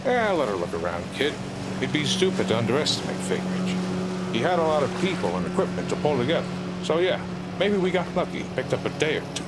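A man's voice: on the high side, very animated, fairly quick, very noisy. A man voicing an older, evasive smuggler who rambles and fumbles for words, in a fan-made audio drama. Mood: wry. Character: older male smuggler mentor, wry and evasive. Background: loud music score.

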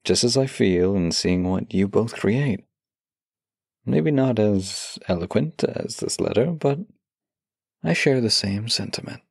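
Male actor as devoted tender lover, low gravelly voice, intimate audio drama, close and dry. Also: expressive, slow, darkish tone, no room sound, full character voice. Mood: tender, teasing. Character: devoted bookish-shy man, tender romantic partner. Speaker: voice actor reading love letters. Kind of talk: intimate audio drama, slow heartfelt letter reading and banter.

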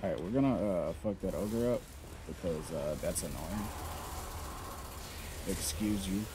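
A man's voice: deep voice, unhurried, background noise. A young man giving sarcastic, trash-talking commentary while playing a game. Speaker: gamer. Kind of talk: gameplay commentary.

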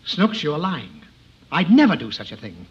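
High-voiced, blustering exasperated father. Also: big pitch swings, moderate pace. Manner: sputtering, sarcastic. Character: exasperated, blustering, forgetful middle-aged father. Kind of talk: 1950s radio comedy acting. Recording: dull, slightly noisy old radio recording.

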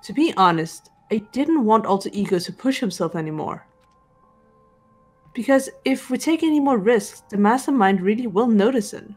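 A woman's voice: fairly high pitch, animated, a little mellow, soft background music. Woman playing a composed, serious teenage girl detective. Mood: serious, resolute. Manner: measured, deliberate, serious. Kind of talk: visual novel fan dub voice acting.

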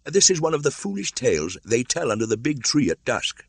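A man's voice: mid-range voice, sing-song, brisk, gravelly, slightly rough, no echo, pitched above the performer's own voice, full character voice. A man voicing a bold, defiant boy raised by wolves in an audiobook, with a commanding, scornful way of calling out. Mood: firm, urgent.

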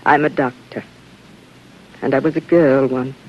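An American actress playing a calm, wistful woman psychiatrist of sixty-six in a 1950s radio drama. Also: extremely low pitch, a little slow. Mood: wistful, gentle. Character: gentle, wistful sixty-six-year-old woman psychiatrist aboard spaceship. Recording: dull vintage radio recording.

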